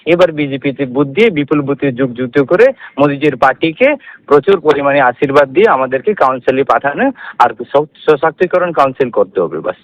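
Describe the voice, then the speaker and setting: A man's voice: medium pitch, animated, fairly quick, no echo. A man with a Tripura Bengali accent giving interview answers as an election candidate over the phone, mixing in English phrases. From Tripura, India. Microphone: telephone. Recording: dull lo-fi phone line.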